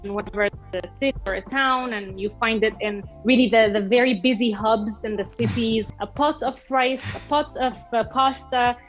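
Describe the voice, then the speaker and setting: A young woman from Egypt talks through a recipe over a video call, her voice fairly high and the audio a little dull and lo-fi. She explains carefully, pausing on fillers and restarting phrases as she goes. Soft music sits faintly underneath. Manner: thoughtful, explains step by step with many fillers and restarts. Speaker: guest food creator. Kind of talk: casual explanatory chat.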